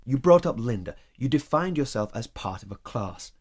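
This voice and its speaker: A man playing a guarded, solitary lover in an audio drama, speaking in a measured, reserved, matter-of-fact way. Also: mid-range voice, sing-song, darkish tone. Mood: defensive, pained. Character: guarded, solitary man, ambivalent about love.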